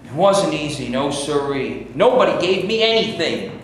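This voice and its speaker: A man reading a brash, dismissive minstrel singer with a high voice. He is heard from far off in an echoey hall with faint noise behind him. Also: very animated, normal speed. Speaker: actor in a staged reading. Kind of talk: amateur stage reading.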